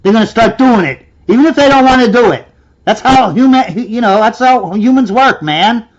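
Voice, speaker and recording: A man's voice: extremely high pitch, expressive, normal speed, muffled recording. A man hosting a reaction video, ranting in a sarcastic, scornful way and mocking the clip he watches. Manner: sarcastic, scornful, mimics in a whiny voice.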